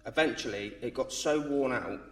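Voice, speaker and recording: A man's voice: mid-range voice, normal speed, fairly bright tone, recorded from a distance, slight background noise. A man in his thirties from England, nervously reading his baptism testimony aloud. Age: 30s. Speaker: baptism candidate. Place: church. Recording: roomy church sound from off mic.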